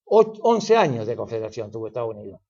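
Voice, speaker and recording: A man's voice: medium pitch, sing-song, fast talker, warm tone, lo-fi sound. An older man with an Argentine accent, explaining as an expert guest in an interview, lecturing at length with frequent fillers and restarts. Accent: Argentine Spanish.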